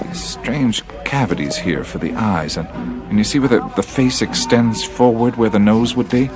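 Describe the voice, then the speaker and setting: Man acting a low-voiced, thoughtful adventurer. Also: over music, lightly acted. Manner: hesitant, musing, halting. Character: thoughtful, troubled male adventurer seeking answers. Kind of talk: radio drama acting.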